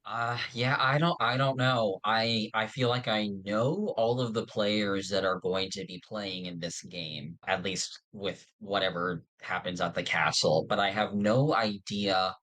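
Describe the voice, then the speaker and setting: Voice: low-pitched, steady tone, unhurried, few pauses, a bit bright, rough recording. A podcast host talking casually about a fiction series in a rambling, informal way. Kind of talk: casual fan discussion of an audio drama.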